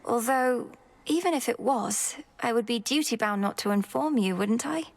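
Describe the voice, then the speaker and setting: A woman's voice: fairly high pitch, expressive, moderate pace, gravelly, a little hiss or hum. A woman voicing an earnest young witch princess who speaks formally in a studio game. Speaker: game character.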